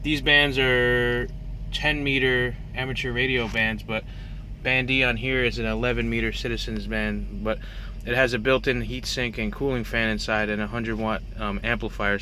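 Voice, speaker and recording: A man's voice: medium pitch, sharp tone. A man talking casually and unscripted as he shows off his gear, with a hesitant, rambling, self-correcting manner. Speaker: truck driver and CB radio hobbyist showing his gear. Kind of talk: casual unscripted show-and-tell to camera. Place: inside the cab of a semi truck. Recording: faint vehicle cab noise behind voice.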